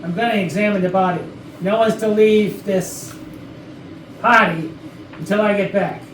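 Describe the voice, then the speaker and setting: A man playing a pedantic, deadpan police detective who badgers people with questions in a staged comedy reading. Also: high voice, moderate pace. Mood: badgering. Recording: echoey, distant, noisy room recording.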